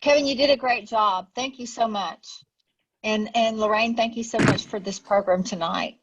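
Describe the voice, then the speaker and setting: A woman wraps up a club meeting as its organizer, friendly and thankful. Her voice sits fairly high. The audio is dull and lo-fi, like an online call. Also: normal speed, background noise. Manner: friendly, thankful, uses "y'all". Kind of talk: closing remarks at a meeting.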